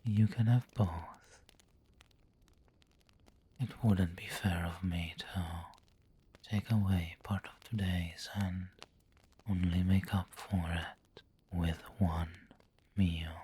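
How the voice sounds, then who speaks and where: Man playing a slow, whispering, low vampire lord. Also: fairly even intonation, dark tone, heavily acted. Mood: gentle, refined. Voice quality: breathy, whispery. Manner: slow, genteel, coaxing endearments. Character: refined, doting male vampire lord, possessive and indulgent. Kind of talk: ASMR roleplay.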